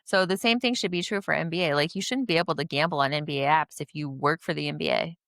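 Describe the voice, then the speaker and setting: A woman's voice: deep voice, quick pace, fairly bright tone, dry recording, clean studio sound. A woman co-hosting a podcast in easygoing chat, rambling a little and laughing often. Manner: chatty, laughs often, trails off. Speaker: podcast co-host. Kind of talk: casual chat.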